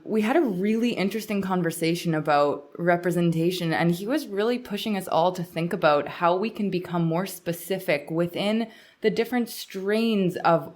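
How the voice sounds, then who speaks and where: Woman, slightly low pitch, podcast co-host, conversational, chatty, thinks aloud. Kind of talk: conversational interview.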